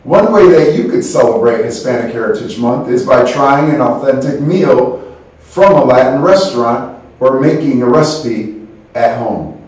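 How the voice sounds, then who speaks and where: A man co-hosting a school morning broadcast, reading announcements in a steady, formal way. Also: medium pitch, moderate pace. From United States. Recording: echoey room, distant mic.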